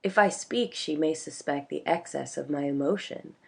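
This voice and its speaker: A woman's voice: low-pitched, moderate pace, vocal fry, breathy edge, heavily acted. A woman voicing a proud young male lover, moved but guarded, in an audiobook. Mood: anxious, moved.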